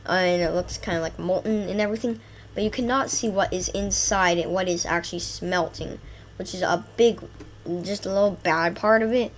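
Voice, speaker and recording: A woman with a stuffy nose from a cold, chatting excitedly through a gameplay video, rambling through lists with fillers. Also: mid-range voice, a little hiss or hum. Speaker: gaming channel host. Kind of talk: casual gameplay commentary.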